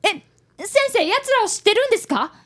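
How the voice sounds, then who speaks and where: A woman's voice: very high voice, sing-song, brisk, a bit bright, slight background noise, full character voice. A woman voicing a polite, earnest fifth-grade boy who is a detective's apprentice in a radio drama. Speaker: narrator and child detective. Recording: radio studio.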